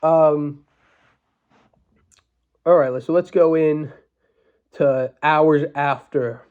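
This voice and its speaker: A congested man getting over the flu, hosting a solo history podcast in an informal, halting, opinionated way, often checking in with the listener. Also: a little high, steady tone, phone-quality sound. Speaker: podcast host.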